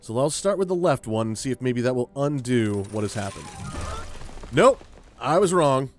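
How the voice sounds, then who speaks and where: A man's voice: mid-range voice, expressive, normal speed, faint music behind. A man hosting a gaming video with casual, rambling commentary, thinking aloud as he plays. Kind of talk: casual let's play commentary.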